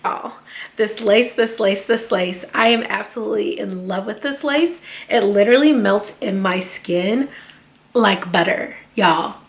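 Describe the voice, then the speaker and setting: A woman's voice: mid-range voice, a little slow, gravelly. A young adult woman reviewing a wig in a casual beauty vlog, chatty and slangy, with her voice a little off from feeling sick. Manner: chatty and slangy, addresses viewers as sis and y'all.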